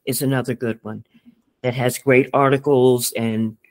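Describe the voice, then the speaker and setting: A man who is a licensed therapist, speaking as a guest in a gentle, supportive and reassuring way. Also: mid-range voice, steady tone, measured pace. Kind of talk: conversational interview.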